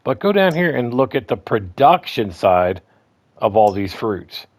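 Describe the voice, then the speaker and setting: A man with a slightly hoarse voice walking through his game farm in a casual, rambling way, full of ums and restarts. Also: medium pitch, sing-song, unhurried, no echo. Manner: rambling, repeats himself, lots of ums and restarts. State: slightly hoarse, clearing throat. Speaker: gaming guide host. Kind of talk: casual gameplay walkthrough.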